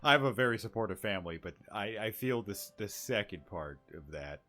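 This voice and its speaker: A man's voice: on the low side, animated, a little fast, sharp tone. A man doing casual let's-play commentary in a laid-back, slangy way. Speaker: game streamer. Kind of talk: casual let's-play commentary, reading game text aloud. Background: game music.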